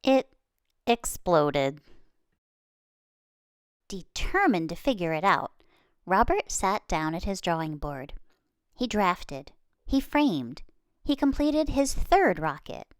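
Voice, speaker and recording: Slow, animated woman reading a storybook. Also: lowish voice, clean tone, no echo, clean studio sound. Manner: dramatic pauses and emphasis. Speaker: children's book reader. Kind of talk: expressive read-aloud storytelling.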